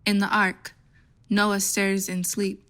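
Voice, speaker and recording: Woman reading stage directions for a play, bright forward voice, medium pitch. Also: monotone, takes it slowly. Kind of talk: scripted narration.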